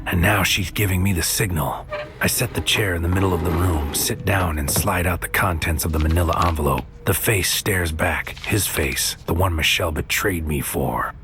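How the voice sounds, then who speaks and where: A man's voice: low-pitched, moderate pace. An actor playing a brooding, obsessed psychic soldier who narrates his own story as an intense noir audio drama monologue. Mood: grim, obsessive. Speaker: narrator and lead character. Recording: music under the voice.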